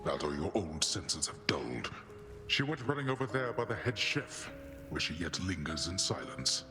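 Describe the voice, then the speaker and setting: An actor playing a huge, gruff god-king of the underworld in a video game, curt, solemn and dry. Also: sits low, very animated, moderate pace. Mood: stern, dry. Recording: cavernous long reverb, music behind. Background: game music.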